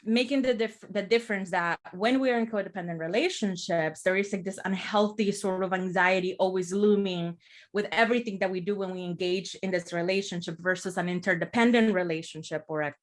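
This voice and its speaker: A woman's voice: medium pitch, a little fast. A woman from El Salvador, a therapist, talking as a podcast guest in a reflective, explanatory way, often checking in with "right?". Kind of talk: casual podcast conversation.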